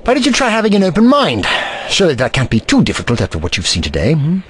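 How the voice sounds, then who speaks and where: A man's voice: a little high, huge pitch swings. A man playing a pompous eccentric scientist. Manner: pompous, lecturing, brisk. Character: haughty eccentric male time-traveling scientist, protective. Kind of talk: audio drama acting.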